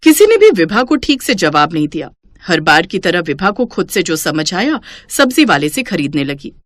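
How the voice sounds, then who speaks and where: A woman's voice: on the low side, very animated, normal speed, no room sound. A woman narrates a scripted domestic family story as a storyteller.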